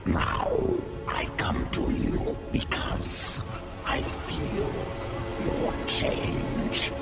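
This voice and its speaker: A savage alien beast from a video game growls out short, broken phrases. The gravelly voice drags very slowly and swoops wildly, hungry and primal. Loud music plays behind it. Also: male voice, medium pitch, exaggerated voice. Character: feral male alien beast who hunts and collects essence. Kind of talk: video game character acting.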